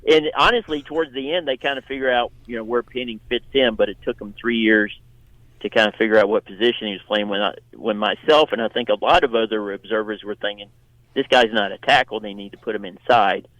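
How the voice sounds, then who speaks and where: A man giving conversational sports analysis as a radio guest, often repeating and restarting his phrases in a halting way. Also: on the low side, steady tone, fairly bright tone, no room sound, lo-fi sound.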